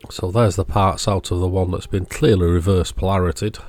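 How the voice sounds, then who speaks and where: Guy with a low voice talking thru a repair job, casual and matter of fact. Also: fairly even intonation, a little fast, a little mellow. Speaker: host of an electronics repair channel. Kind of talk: casual narration of a repair demonstration.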